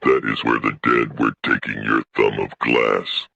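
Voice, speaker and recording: Man acting as old alien elder, extremely deep crackling voice, solemn, stern, weary, monotone, sci-fi audio drama. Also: dry recording, muffled recording, heavily acted. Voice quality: gravelly, creaking. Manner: slow, solemn, formal declarations. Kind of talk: dramatised science fiction audio story.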